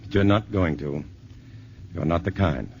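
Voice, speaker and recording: A calm, deep-voiced scientist. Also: male voice, a little slow, breathy edge, exaggerated voice. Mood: reassuring. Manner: steady, reassuring. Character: level-headed male scientist, adult, calm and protective. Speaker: scientist hero in a radio play. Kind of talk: 1950s radio drama acting. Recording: muffled old radio broadcast with faint hiss.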